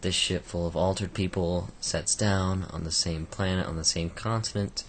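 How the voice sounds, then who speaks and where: A man with a croaky voice giving a casual, rambling book review, full of fillers and restarts. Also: sits low, fairly even intonation, moderate pace, slight background noise.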